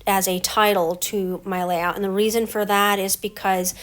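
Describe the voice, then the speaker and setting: A middle-aged woman with a stuffy head cold, talking through her scrapbook layout step by step in a relaxed voice-over. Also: mid-range voice, normal speed. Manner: relaxed, explains each step as she works. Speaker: scrapbooking crafter. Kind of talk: casual craft voice-over.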